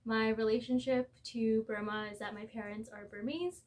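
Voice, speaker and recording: A young woman in her twenties, a recent graduate, introducing herself slowly and carefully. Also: fairly high pitch, steady tone. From born in Japan, raised in Brooklyn. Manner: slow, careful delivery. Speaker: guest. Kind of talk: casual self-introduction.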